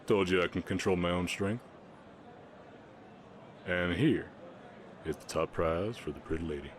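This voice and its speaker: A man playing a kind, protective, shy orc ranch hand with a Southern US accent, speaking gently and plainly in an intimate roleplay. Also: sits low, unhurried, slight background noise. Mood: warm, playful. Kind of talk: intimate ASMR romance roleplay.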